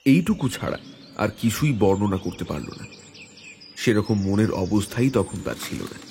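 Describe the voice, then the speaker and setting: A man reading a hunting adventure as a dramatic audio story, in a measured, suspenseful way. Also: on the low side, animated, a little mellow, slight background noise. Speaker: story narrator. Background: background music.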